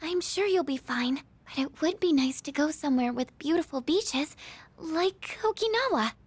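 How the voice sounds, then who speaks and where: A woman playing a sweet, gentle, cheerful high school girl in a video game. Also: lively intonation, normal speed, no echo. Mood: friendly.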